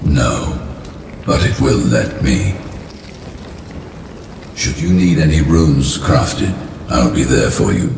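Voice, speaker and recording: Extremely deep, gravelly mysterious rune smith. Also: male voice, very animated, full character voice. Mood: grandiose, ominous. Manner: cryptic, deliberate, grandiose. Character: mysterious, imposing male rune smith of otherworldly power. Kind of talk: video game character acting. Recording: distant, echoey, noisy storm ambience.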